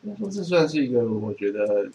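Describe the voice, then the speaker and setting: A man with a Taiwanese Mandarin accent chatting casually in a detached, matter-of-fact way with halting restatements. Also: medium pitch, sing-song, mellow, a little hiss or hum, low-quality recording. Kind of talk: casual chat.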